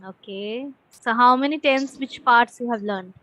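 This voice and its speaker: Woman, Indian accent, high voice, dry close sound, English teacher, casual conversation practice, encouraging. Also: unhurried, fairly bright tone, no echo, rough recording.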